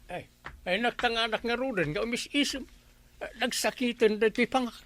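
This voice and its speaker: An actor playing an excitable comic village man with an eye for money in an Ilocano radio drama, with an Ilocano accent. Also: extremely high pitch, a little fast. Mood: comic complaining.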